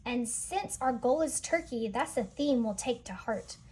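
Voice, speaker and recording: An eager, scheming storybook townsman, played by a woman reader declaims in rhyme. The voice is high and emphatic. The recording has some noise behind it. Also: lively intonation, a little slow. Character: scheming, enthusiastic townsman pitching his grand plan.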